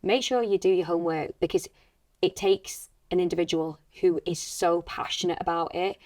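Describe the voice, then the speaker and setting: Woman w a deep-ish voice, podcast chat, thoughtful and earnest, very dry sound. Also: steady tone, moderate pace, a little mellow, no echo. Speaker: actress and acting coach.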